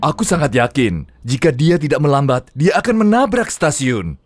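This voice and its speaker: A man's voice: on the high side, sing-song, moderate pace, faint music behind. A man voicing a flustered, anxious railway boss in a children's cartoon dub. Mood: worried.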